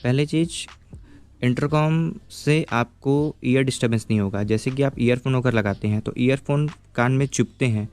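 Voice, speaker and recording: A man's voice: medium pitch, normal speed, darkish tone, clean tone. A man giving a casual, step-by-step product review, explaining patiently and checking in with the viewer as he goes.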